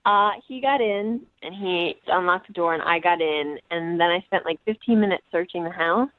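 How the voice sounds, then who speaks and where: A woman's voice: fairly low pitch, moderate pace, dry recording. A woman calling in by phone, casually telling a story in a chatty, self-justifying way. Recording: dull phone line.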